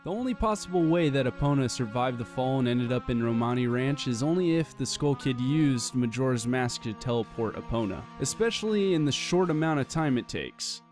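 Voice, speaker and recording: A man with a medium-pitched voice narrates a scripted video essay. He lays out his case in a measured, explanatory way, arguing point by point. Faint guitar music plays underneath. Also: expressive, darkish tone.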